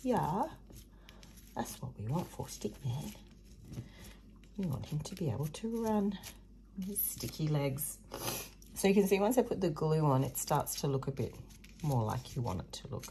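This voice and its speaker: A croaky-voiced woman talks through a craft tutorial in a slow, low, gravelly voice, chatty and prone to muttered asides. Her tone is mellow, and she sits at a table under an overhead camera, with a faint hiss behind her. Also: lively intonation. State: hoarse, croaky voice. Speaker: craft video host. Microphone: overhead camera above a table, hands only.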